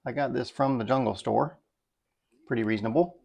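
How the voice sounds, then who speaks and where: A man's voice: mid-range voice, brisk, vocal fry, darkish tone. A middle-aged man giving a casual, unscripted product review, thinking aloud in a folksy, easygoing way. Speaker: product reviewer, video host.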